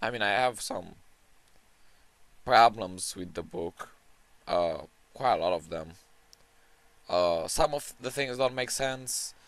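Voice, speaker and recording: A man with a hoarse, strained voice giving casual, unscripted commentary as he ranks books, rambling and opinionated with many restarts. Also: on the low side, animated, takes it slowly, long pauses, a bit bright, dry recording. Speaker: book-ranking video creator.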